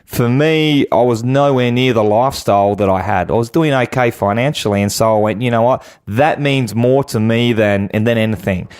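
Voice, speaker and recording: A man with an average-pitched voice speaks as a guest business coach. His interview talk is motivational and earnest, urging people to act. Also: moderate pace, hardly any pauses, a little mellow, smooth. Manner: earnest, exhorting.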